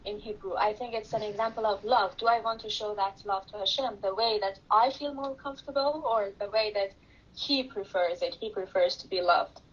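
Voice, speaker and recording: A woman's voice: medium pitch, normal speed, slightly rough. Persian-speaking woman on a dull call. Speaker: class participant. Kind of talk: sharing a question in class. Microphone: video call. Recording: dull video call audio with faint hiss.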